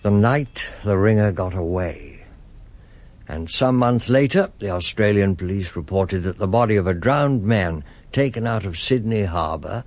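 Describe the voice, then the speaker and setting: Very deep-voiced thriller narrator. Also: male voice, lively intonation, measured pace. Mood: grave, suspenseful. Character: male narrator of a foggy crime thriller. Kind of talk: dramatic scripted narration, 1970s radio drama. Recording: radio drama with loud music underneath. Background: loud music.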